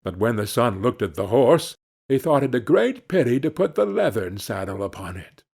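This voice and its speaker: A man narrating a scripted fairy tale as an audiobook reader, in a measured storybook manner. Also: medium pitch, big pitch swings, gravelly, darkish tone, slightly rough.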